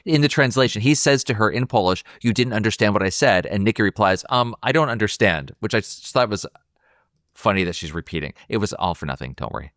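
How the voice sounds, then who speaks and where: A man with a fairly low voice co-hosts a podcast. He talks casually and conversationally about film, in a close, dry recording. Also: lively intonation, fast talker, a bit bright, no room sound. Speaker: podcast co-host.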